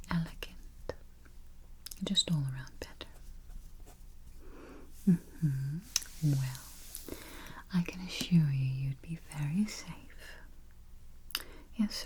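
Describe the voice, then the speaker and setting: A woman whispering softly and calmly in an ASMR roleplay as a vampire travel agent, close to the microphone. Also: low voice, slight background noise. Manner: soft whispering, unhurried and reassuring. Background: keyboard typing and taps.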